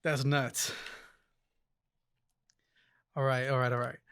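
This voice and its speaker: A man's voice: mid-range voice, little pitch movement, slow pace, fairly bright tone, slight background noise. Casual male podcast interviewer.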